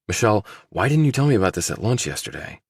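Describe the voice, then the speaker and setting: A man's voice: on the low side, sing-song, fast, vocal fry, breathy edge, no room sound, clean studio sound, pitched above the performer's own voice, heavily acted. A man voicing a guarded, probing ex-soldier turned investigator, terse and questioning, in an audiobook. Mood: suspicious, insistent. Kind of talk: audiobook character dialogue.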